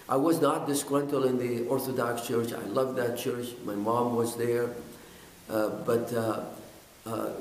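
An older man from Iraq tells his own story to the camera in a middle-pitched voice, sincere and a little halting as he restarts words. His speech rings in an echoey indoor room. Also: a little mellow, slight background noise. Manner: earnest, halting, with repeated words and fillers. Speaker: pastor. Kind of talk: personal testimony to camera. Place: indoors, in front of a bookshelf. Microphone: camera at close range.

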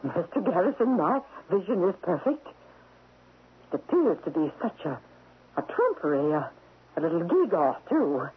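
An actress playing an imperious, wealthy widow in a radio drama, sharp and unyielding, with precise, haughty, formal diction. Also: female voice, lowish voice, very animated, moderate pace, breathy edge, full character voice. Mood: coolly accusing. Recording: muffled lo-fi old radio broadcast.

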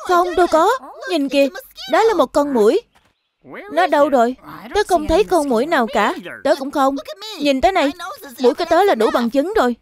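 A performer voicing an excitable, childlike little bear cub with urgent, exclamatory delivery in a children's cartoon. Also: high voice, fairly quick. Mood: agitated. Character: excitable little bear cubs, bold and squabbling.

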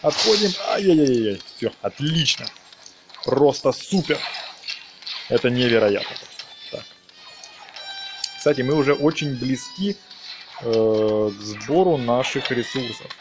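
A man's voice: medium pitch, lively intonation, slow pace, pauses often. A man giving casual gameplay commentary, talking to viewers and dropping in short interjections. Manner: talks to viewers, exclaims in interjections. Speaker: gaming video narrator. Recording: music behind the voice. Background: game music.

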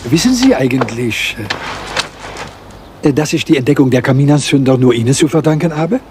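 Man acting a lively French-accented little detective. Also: medium pitch, noisy background, close to an everyday voice. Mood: warm, pleased. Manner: theatrical, deliberate, polite, lecturing, teasing rhetorical questions. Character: small, meticulous, self-assured Belgian detective. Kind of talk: German radio crime drama acting.

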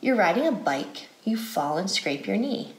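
An American woman reading a picture book aloud to children as an educator, phrasing deliberately in a guided read-aloud style. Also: mid-range voice, sing-song. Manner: deliberate, clearly phrased, asks questions. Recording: roomy indoor echo.

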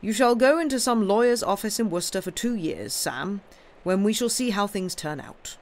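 Man voicing a gruff, stern old uncle. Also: sits high, lively intonation, moderate pace, voice pushed up. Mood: firm, decided. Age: older. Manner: gruff, blunt, lecturing, old-fashioned phrasing. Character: gruff, stern elderly uncle scolding his wayward nephew.

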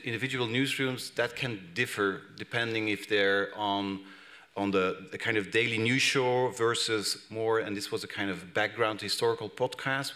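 A man in his thirties from Belgium answering questions on a conference panel, halting with many restarts, speaking into a table microphone in a large hall. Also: lowish voice, sharp tone. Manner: hesitant, many restarts and fillers. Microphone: table microphone on stand.

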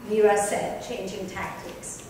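A woman giving an expressive, deliberate, theatrical reading of literary narration. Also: mid-range voice. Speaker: reader at a dramatic book reading. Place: club lounge, indoors. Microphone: distant microphone. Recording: very echoey room, distant mic, faint noise.

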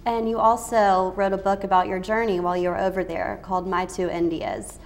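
A woman's voice: mid-range voice, level tone, a little fast, slight background noise. A young woman interviewing a guest in a TV studio, asking polite questions and giving brief affirmations, on a lapel mic. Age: young adult. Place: TV studio set.

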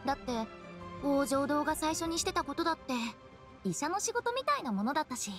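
A woman's voice: sits high, big pitch swings, fairly quick, fairly bright tone, faint music behind, full character voice. A woman playing a playful, shrewd young funeral parlor director in a Japanese anime game, speaking casually and expressively. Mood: explaining, suspicious.

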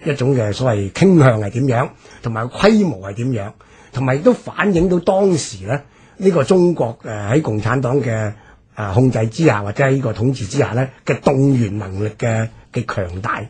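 A man with a Hong Kong Cantonese accent and a strongly husky, raspy voice. His pitch is medium. He explains his points as a commentator in a radio discussion. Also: lively intonation, normal speed, darkish tone.

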